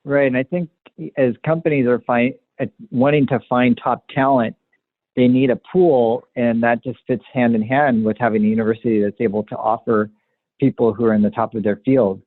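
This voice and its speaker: A man's voice: mid-range voice, steady tone, normal speed, no echo. A man hosting an interview in a conversational style. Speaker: interviewer, host. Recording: narrow phone-like line, dry.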